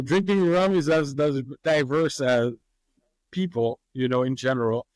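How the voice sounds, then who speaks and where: A man, a master distiller answering interview questions in a relaxed, hesitant way with many fillers and easy laughs. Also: mid-range voice, no echo, poor audio. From Haiti.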